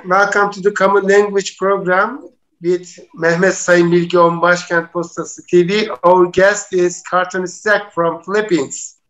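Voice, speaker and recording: A man who is a native Turkish speaker, co-hosting and interpreting on a live interview programme in a halting, pausing way. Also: sits high, fairly bright tone. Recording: dull, muffled lo-fi sound.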